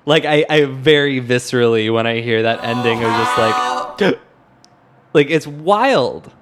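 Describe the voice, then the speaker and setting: A man's voice: medium pitch, a little slow, background noise. A man hosting a podcast, chatting casually about music, emphatic and informal, often circling back as he searches for words. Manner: hesitant, repetitive, searching for words. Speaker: podcast host. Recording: music playing behind the voice. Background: song playback.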